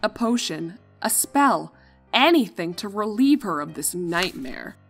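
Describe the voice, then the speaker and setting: Animated woman narrating a story. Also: mid-range voice, unhurried, slightly rough, soft background music. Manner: dramatic, expressive. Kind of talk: expressive scripted story narration.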